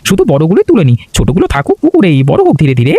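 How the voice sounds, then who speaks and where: A man's voice: on the high side, huge pitch swings, rapid-fire, dark tone, husky, no echo, exaggerated voice. A man playing a kindly, approving older village uncle in cartoon dialogue. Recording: faint music behind the voice.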